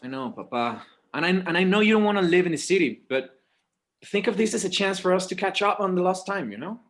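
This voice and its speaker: A man's voice: high-pitched, expressive, brisk, a bit bright. A man acting as an exasperated but dutiful forty-year-old son, hesitant and weary, in a naturalistic table read. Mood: weary, pleading.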